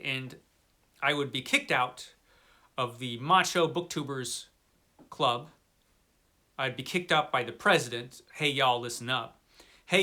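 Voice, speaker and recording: Bright-voiced middle-aged man chatting about books. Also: mid-range voice. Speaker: book vlogger. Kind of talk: casual unscripted chat to camera.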